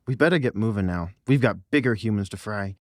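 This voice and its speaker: A woman's voice: rumbling low, expressive, a little fast, a little mellow, no room sound, clean studio sound, pitched below the performer's own voice. A woman voicing a mocking, vengeful male troublemaker in a theatrical audiobook performance. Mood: smug menace. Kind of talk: audiobook character acting.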